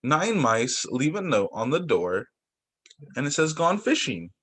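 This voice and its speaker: A young man who teaches young children, reading picture books aloud in a sing-song, expressive way over a video call. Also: fairly high pitch, measured pace, husky. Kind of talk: read-aloud story time for young children.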